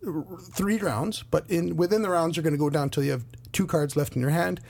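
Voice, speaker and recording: A man with a slightly high, gravelly voice, hoarse from losing it, talks through game rules casually. He rambles, stops and restarts, and fills gaps with ums and uhs. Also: darkish tone. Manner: rambling, halting explanations with many fillers. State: losing his voice. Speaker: podcast co-host. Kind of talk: casual board game podcast chat.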